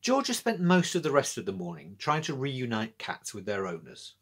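A man's voice: medium pitch, huge pitch swings, moderate pace, a bit bright, husky. A middle-aged British man narrating a children's story as a storyteller. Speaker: author and storyteller. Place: small indoor room with bookshelves.

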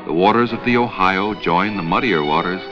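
An American man reading scripted narration for a 1970 educational film in a measured, instructional way. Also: low-pitched. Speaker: documentary narrator. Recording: dull vintage 16mm film soundtrack, music.

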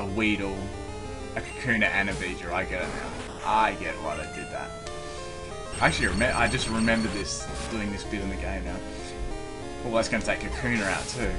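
A young man with a fairly low voice gives casual commentary on a video game. He is chatty and easily amused as he talks through play. Game music plays clearly behind him throughout. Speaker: host of a game walkthrough.

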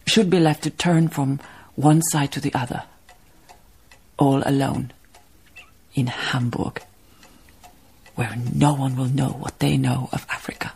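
An actress playing a grieving, dignified woman memoirist in a radio drama, speaking reflectively in a breathy, husky voice. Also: sits low, darkish tone, exaggerated voice. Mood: wistful, sorrowful.

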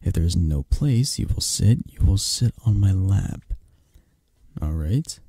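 Man acting a dark, gravelly, possessive mafia boss boyfriend. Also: low-pitched, lively intonation, dry recording, exaggerated voice. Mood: possessive, firm. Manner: unhurried, commanding, coaxing, close and soft. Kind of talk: intimate ASMR romance roleplay.

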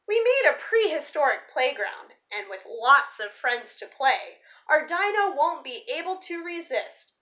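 A young woman presenting to children in an expressive storybook read-aloud style, stressing key words like a teacher. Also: high voice, a little slow, few pauses. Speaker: teacher reading a picture book aloud for children. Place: small living room or room at home, indoors.

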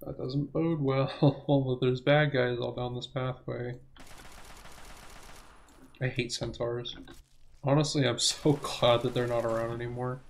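A young man giving rambling, self-correcting let's play commentary, his voice hoarse as if he is losing it. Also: mid-range voice, flat delivery, measured pace, long pauses. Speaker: gaming channel host.

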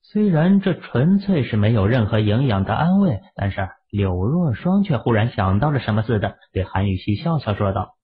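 A man narrating an audiobook drama in a lively storytelling manner. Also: lowish voice, normal speed, few pauses, slightly rough. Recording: muffled phone-like audio.

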